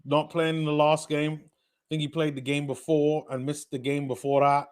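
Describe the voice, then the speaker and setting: A man with a British accent blended with North American, hosting a casual live watch-party stream, chatting with viewers and getting excited on big plays. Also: fairly high pitch, normal speed, smooth. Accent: British mixed with North American. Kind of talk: live casual game commentary and chat.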